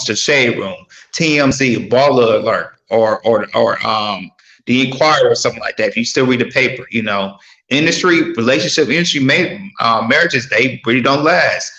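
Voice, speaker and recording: Male panelist, bright forceful voice at middle pitch, halting casual delivery with repeated words, roomy echo. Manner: halting, repeats words while searching for phrasing. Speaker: podcast panelist.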